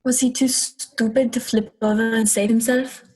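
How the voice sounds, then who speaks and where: A woman's voice: fairly high pitch, level tone, moderate pace, a little mellow, breathy edge. A young woman playing a plaintive, questioning girl, an older sister, in a radio play. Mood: puzzled, questioning. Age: young adult.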